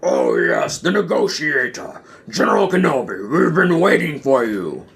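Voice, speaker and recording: A man's voice: a little high, wildly swooping pitch, creaky, a bit bright, voice pushed up, heavily acted. A man voicing a villainous alien turtle general in an audio play, commanding and menacing, drawing out his words. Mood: gloating. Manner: drawn-out, menacing delivery.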